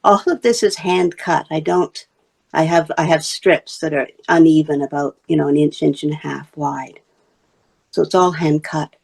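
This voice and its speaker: A woman from Wales talks easily about her basket making in a relaxed interview, pointing out pieces as she goes. Her voice sits on the lower side and carries a gravelly, crackly edge. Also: normal speed. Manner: chatty, explaining her craft while showing pieces. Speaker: basketry artist and teacher. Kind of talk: casual interview talk.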